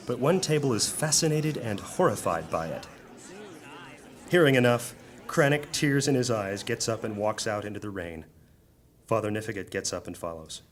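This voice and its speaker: Male narrator for fantasy audio drama, played by a man: fairly deep, solemn, formal, measured storytelling. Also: natural voice.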